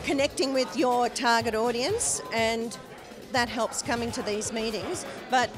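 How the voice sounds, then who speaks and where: A woman in her fifties speaks into a handheld mic, her voice a touch high. She sounds bright and chatty. Music plays clearly in the background. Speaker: small business owner. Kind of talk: vox pop interview answer. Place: indoor function room. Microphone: handheld interviewer mic.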